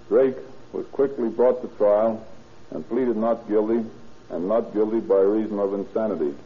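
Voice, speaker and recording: A man plays a police chief narrating in a formal, official manner. His voice sits fairly low, and it comes through a narrow, tinny 1930s radio recording. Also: close to an everyday voice.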